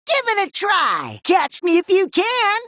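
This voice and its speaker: A woman's voice: very high-pitched, wildly swooping pitch, unhurried, sharp tone, dry recording, studio quality, full character voice. A woman voicing a tiny, perky, show-off cactus mascot in a video game, playful and sing-song. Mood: playful, teasing.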